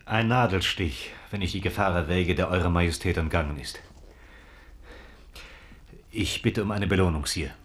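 Low-voiced, earnest royal counsellor. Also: male voice, moderate pace, long pauses, fairly bright tone. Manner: formal, earnest, deferential. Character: loyal, prudent, virtuous male counsellor to a king. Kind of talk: German radio drama acting.

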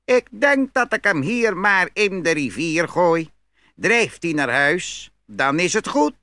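An actor playing a sly, smooth-talking poor peasant trickster in a dramatised fairy tale, with theatrical feigned hesitation. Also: male voice, high-pitched, sing-song, takes it slowly, sharp tone, no room sound, full character voice. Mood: mock musing.

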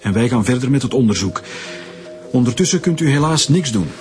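An actor with a Flemish accent playing a brisk, formal chief police commissioner in a radio drama, measured and officious. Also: male voice, medium pitch, animated, a little mellow, lightly acted. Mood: firm, formal. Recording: music behind the dialogue.

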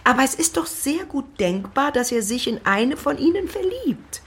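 An actress playing an excitable, nagging middle-aged wife and mother in a period radio drama, dramatic, complaining and insistent. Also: high voice, normal speed. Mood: eager, exasperated.

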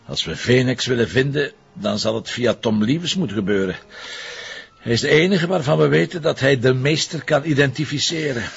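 A man's voice: mid-range voice, a little fast, gravelly, breathy edge, muffled recording. An actor playing an anxious, devoted Flemish police commissioner and expectant father in a naturalistic TV drama. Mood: weary, businesslike.

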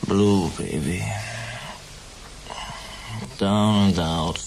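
A man's voice: low-pitched, fairly even intonation, extremely slow, a little mellow, slight background noise, heavily acted, rough recording. A man playing a melancholic, drugged rock musician in a sci-fi radio drama, drawling in hipster slang peppered with English. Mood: melancholic, boozy.

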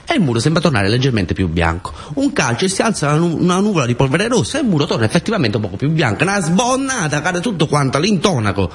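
A man's voice: on the high side, wildly swooping pitch, fast talker, hardly any pauses, breathy edge. A man with a southern Italian accent performing a theatrical storytelling monologue in short, colloquial, repeated phrases. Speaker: actor and storyteller.

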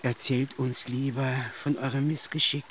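An actor playing a sympathetic, courteous gentleman in a radio play, speaking in polite, old-fashioned phrases with some noise behind. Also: mid-range voice, little pitch movement, normal speed, natural voice. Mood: courteous, pleading. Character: sympathetic, courteous gentleman listener, eager to help. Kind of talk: German radio play acting.

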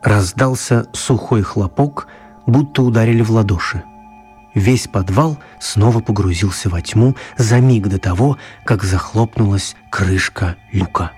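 An actor playing a calm storyteller, narrating a children's radio play in a scripted story style. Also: male voice, lowish voice, a little slow, soft background music, natural voice. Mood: suspenseful narrating. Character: calm male storyteller reading a manuscript.

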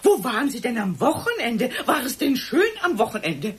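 Radio drama casting: higher-voiced, very breathy woman, evasive and desperate, halting delivery. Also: very animated, exaggerated voice. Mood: agitated, confessing. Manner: halting, pleading, defensive. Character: distraught cornered woman, evasive, pleading, guilt-ridden. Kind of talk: German radio play acting.

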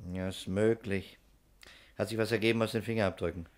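An actor playing a composed, probing fire investigator in a 1960s German radio crime drama, matter-of-fact and questioning. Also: male voice, lowish voice, fairly even intonation, brisk, natural voice. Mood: businesslike.

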